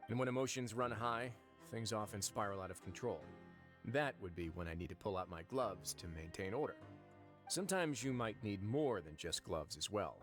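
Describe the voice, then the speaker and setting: An actor playing a composed male prison warden in a game, speaking in a calm, measured, lightly wry way. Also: lowish voice, faint music behind, lightly acted.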